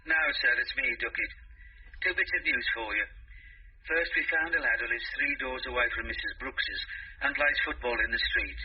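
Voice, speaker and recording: Very husky policeman reporting. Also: medium pitch, animated, normal speed, heavily acted. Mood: earnest, reporting. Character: dutiful junior policeman reporting to his superior. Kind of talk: radio drama acting, phone report. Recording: muffled telephone-style radio drama sound.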